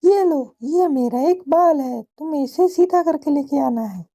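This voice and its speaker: A woman voicing a landlord's shrewd, unflappable wife in a cartoon story, brisk and self-assured. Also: high-pitched, mellow, voice pushed up. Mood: confident, brisk. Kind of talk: cartoon story character acting.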